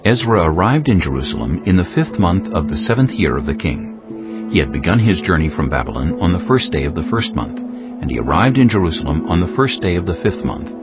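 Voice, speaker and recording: Clear background music sits under a slightly dull recording. A man with a very low voice narrates scripture solemnly and formally, as a scripted reading. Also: big pitch swings, brisk. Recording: muffled, music behind the voice.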